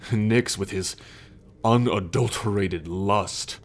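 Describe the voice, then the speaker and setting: A man's voice: on the low side, slow pace. An actor playing an arrogant, brooding male sun god in an ASMR roleplay drama, with a scornful, formal, imperious manner. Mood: exasperated. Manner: haughty, scornful, formal, sighing. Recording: background music under the voice.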